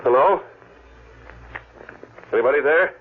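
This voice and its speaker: A man's voice: medium pitch, animated, measured pace, slightly rough. An actor playing a hunted, desperate tough man in a 1940s radio thriller, tense, hardboiled and dramatic. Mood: anxious dread. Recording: tinny, noisy old radio recording.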